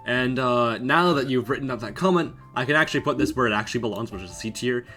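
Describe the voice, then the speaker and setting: A man's voice: mid-range voice, fast talker, sharp tone, faint music behind. A young man from Canada hosting a casual podcast chat about ranking anime, swearing and joking often. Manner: profane, joking, laughs often. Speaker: podcast host.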